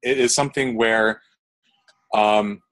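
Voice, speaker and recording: A man's voice: on the low side, steady tone, takes it slowly, fairly bright tone, rough recording. Rambling young man with frequent restarts. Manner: rambling, many restarts and fillers. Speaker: composer interviewee. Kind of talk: casual interview answers.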